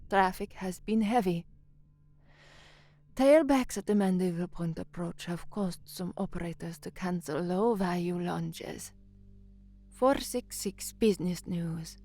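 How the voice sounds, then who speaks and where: A woman's voice: mid-range voice, darkish tone. Young woman newsreader over music. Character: young woman newsreader, anxious, unwell, putting on composure. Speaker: radio newsreader and narrator. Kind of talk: audio drama dialogue, narration and formal newsreading.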